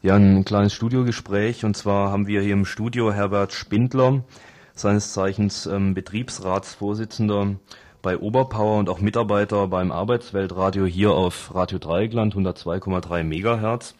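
A male radio co-host with a low voice. He presents live from loose notes in a halting way, stretching out his hesitations. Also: steady tone, darkish tone.

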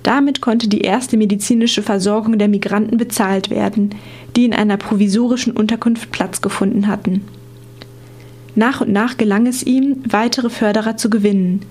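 A woman's voice: medium pitch, steady tone, moderate pace, a little mellow. A woman reporter reading scripted documentary narration for a radio feature.

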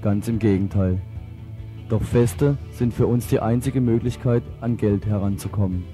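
Very dark-voiced man over guitar music. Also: lowish voice, normal speed. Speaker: announcer for an activist group. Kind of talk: scripted radio event announcement.

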